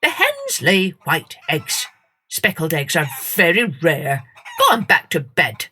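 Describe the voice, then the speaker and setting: A woman's voice: fairly low pitch, wildly swooping pitch, slow pace, sharp tone, slight background noise, exaggerated voice. A woman voicing a stern, bossy rooster in a storybook reading, snappish and commanding.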